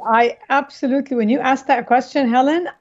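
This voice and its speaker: A woman from Kuwait answering interview questions reflectively, often halting and correcting herself, on slightly dull audio. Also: high-pitched, steady tone. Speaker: interview guest, cookbook author.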